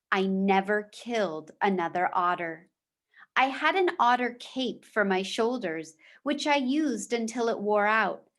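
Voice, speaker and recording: A woman teacher reading a children's book aloud in a measured, deliberate storybook manner, with short explanatory asides. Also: medium pitch.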